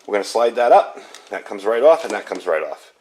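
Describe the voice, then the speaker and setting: A man's voice: on the low side, big pitch swings, a little fast, a little mellow. A man giving a casual hands-on gear review indoors, explaining things in a rambling, informal way. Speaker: product reviewer. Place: indoors at home.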